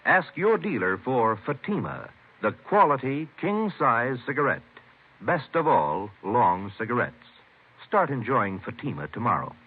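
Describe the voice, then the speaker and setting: A man's voice: medium pitch, sing-song, a little slow, heavy background noise. A man announcing a 1950s radio advertisement in an emphatic salesman's manner. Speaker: commercial announcer. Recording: narrow old radio broadcast recording.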